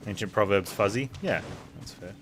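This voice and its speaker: A man's voice: fairly low pitch, moderate pace, noisy background. A man streaming a game with casual, offhand commentary, musing aloud as he plays.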